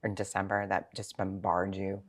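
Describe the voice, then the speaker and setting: A woman's voice: very deep voice, level tone, normal speed, slightly rough. A sixty-year-old woman speaking as a financial planner in an interview, explaining things informally with examples and rhetorical questions. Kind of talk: conversational interview answers.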